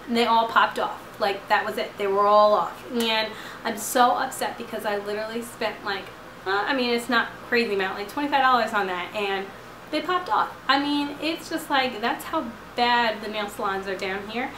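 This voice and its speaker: A woman in her twenties talks straight to a close camera from her couch, chatty and rambling with plenty of fillers. Her voice is slightly hoarse as it recovers. The room sounds roomy, and a clear hiss runs underneath. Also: on the high side, normal speed, a bit bright. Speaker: vlogger. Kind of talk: casual chat to camera. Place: living room couch at night. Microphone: camera at close range.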